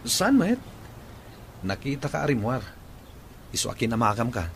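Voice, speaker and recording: A man's voice: mid-range voice, sing-song, normal speed, a little hiss or hum. A Filipino man playing a considerate, friendly young boyfriend in a radio drama. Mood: friendly, concerned. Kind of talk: Ilocano radio drama acting.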